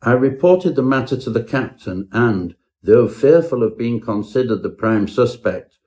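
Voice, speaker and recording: A man's voice: medium pitch, animated, unhurried, darkish tone, close to an everyday voice. A man playing a pompous Edwardian professor, narrating his own parody ghost story in a florid, melodramatic way. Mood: pompous, rueful.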